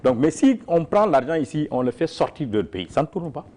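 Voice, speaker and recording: A man's voice: on the high side, very animated, fairly quick, background noise. Man speaking with emphatic repetition. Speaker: farmers' organization leader. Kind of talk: interview answers.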